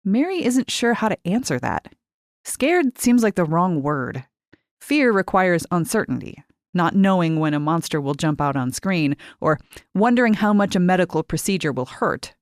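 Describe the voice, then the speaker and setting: A woman reading short fiction as a scripted narration, measured and clearly articulated. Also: lowish voice, big pitch swings, dry recording, studio quality. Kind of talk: scripted audiobook-style narration.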